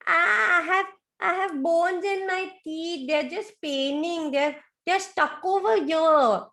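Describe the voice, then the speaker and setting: A woman's voice: extremely high pitch, slow, pushed and bright, voice pushed up, exaggerated voice. A woman voicing a whiny little male baby crocodile in a storybook telling. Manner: whining, pleading.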